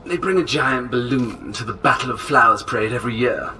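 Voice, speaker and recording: An actor playing an excitable, scatterbrained, chatty eccentric man in a video game, hesitant and then bursting with enthusiasm. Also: medium pitch, fairly quick, distant mic, a little hiss or hum, natural voice, poor audio. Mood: excited. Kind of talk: video game character acting.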